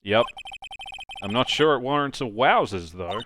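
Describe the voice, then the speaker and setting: A man in his twenties playing a dry, deadpan, easily exasperated young defense lawyer in a live game fan dub. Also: medium pitch, a little slow. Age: 20s. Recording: noisy live stream microphone.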